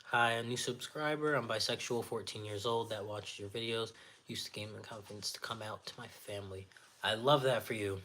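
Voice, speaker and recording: A man with a naturally raspy, slightly nasal voice, hosting a casual livestream, reading viewer comments aloud and answering them in a friendly, encouraging way, sniffling often. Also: lowish voice, brisk, sharp tone. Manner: friendly, encouraging, chatty with filler words. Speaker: livestream host.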